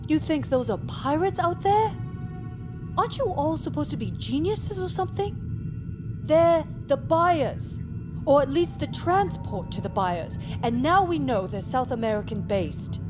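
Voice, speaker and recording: An actress playing a cold, smug doctor turned ruthless patriot agent in an audio drama. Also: female voice, high-pitched, expressive, a little slow. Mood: contemptuous. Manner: smug, defensive, contemptuous. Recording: dialogue over loud music score.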